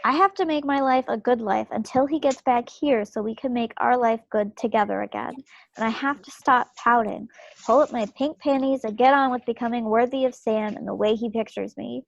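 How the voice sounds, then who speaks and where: A woman's voice: on the high side, normal speed, creaky. A woman playing a stubborn, spirited young 1940s war wife with folksy directness, in a play reading over a video call. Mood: defiant. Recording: muffled video-call audio.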